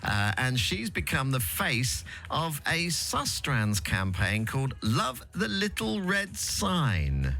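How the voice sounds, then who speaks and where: A male radio presenter interviewing a guest in a relaxed, conversational way. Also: mid-range voice, takes it slowly, gravelly, few pauses. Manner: relaxed, conversational, many fillers.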